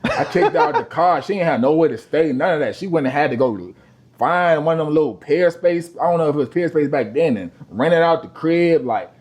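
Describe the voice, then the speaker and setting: Animated, profane man telling stories. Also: a little fast. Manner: profane, animated, swings between low mutter and loud outbursts. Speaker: podcast co-host. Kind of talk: casual chat, storytelling.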